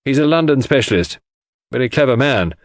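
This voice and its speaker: A gloomy country gentleman, acted by a male reader, curt and frankly bitter. His audiobook lines sit raised in pitch and move up and down freely. The sound is dry and close. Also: lively intonation, brisk, no room sound, close to an everyday voice. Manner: abrupt, grumbling, frank. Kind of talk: audiobook character dialogue.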